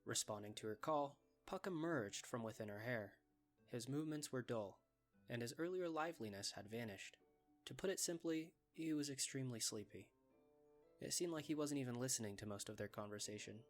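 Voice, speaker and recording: A man's voice: mid-range voice, lively intonation, fairly quick, soft background music. A man reading scripted story narration as the narrator of a fan dub.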